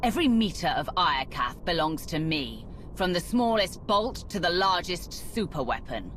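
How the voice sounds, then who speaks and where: Low-voiced, ruthless empress. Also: female voice, sing-song, normal speed, fairly bright tone, slight background noise, low-quality recording. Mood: imperious. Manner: commanding, menacing. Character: ruthless imperious galactic empress, cold and commanding. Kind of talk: video game cinematic acting.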